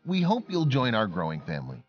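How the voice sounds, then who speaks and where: A man's voice: fairly low pitch, wildly swooping pitch, fairly quick, highs cut off, faint music behind. A man narrating a scripted documentary-style story as a voice-over.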